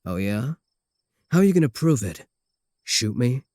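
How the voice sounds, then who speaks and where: Guy doing a cocky lovesick werewolf, sarcastic, braggy, mid pitch, really dry close sound, asmr roleplay comedy. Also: sing-song, measured pace, darkish tone, dry recording. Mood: dry bickering. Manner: earnest boasting, dry sarcastic jabs. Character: cocky, obsessive, lovesick male werewolf, easily offended.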